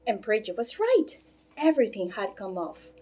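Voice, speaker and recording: A woman reading a children's storybook aloud with expressive, deliberate phrasing. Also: medium pitch, dull audio. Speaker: storybook reader.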